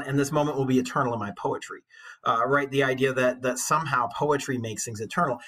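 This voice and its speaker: Male English teacher, forties, forceful and a little husky, conversational lecture delivery with earnest emphasis. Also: a little high. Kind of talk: informal literature lecture.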